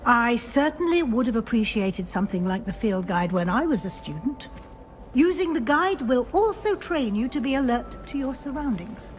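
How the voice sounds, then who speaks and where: An actress playing a brisk, exacting witch professor in a video game, with a British accent and a crisp, formal schoolmistress manner. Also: on the high side, big pitch swings, slight background noise, low-quality recording. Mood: brisk, instructive. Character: brisk, exacting female witch professor guiding a new student. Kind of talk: video game character dialogue.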